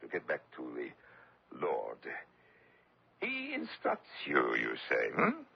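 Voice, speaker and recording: An actor playing a sarcastic, commanding French collaborationist officer who menaces prisoners in a radio drama. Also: male voice, very deep voice, huge pitch swings, slow pace, vocal fry, husky, full character voice. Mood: sarcastic, menacing. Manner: commanding, sneering. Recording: dull lo-fi old radio recording.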